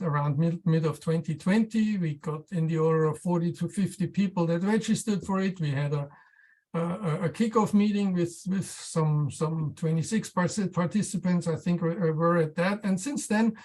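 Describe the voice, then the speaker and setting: A researcher giving an informal technical presentation, speaking haltingly and often repeating words while searching for the right phrasing. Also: mid-range voice, a little mellow, low-quality recording. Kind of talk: technical talk, loosely scripted presentation.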